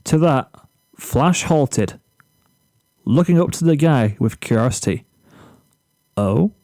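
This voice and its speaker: A man narrates a written story at a slow, measured pace. His tone is mellow and soft-edged, and the recording is very dry. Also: a little high, expressive, dry recording.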